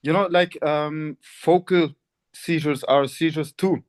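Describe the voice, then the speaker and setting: Chatty man, higher voice. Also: fairly even intonation, slow. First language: Turkish. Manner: rambling, many fillers and restarts, laughs often. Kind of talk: casual chat.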